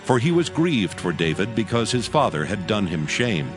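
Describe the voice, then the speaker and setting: A man's voice: on the low side, very animated, normal speed. A man giving a solemn, scripted dramatic narration of scripture in a storytelling manner. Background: music.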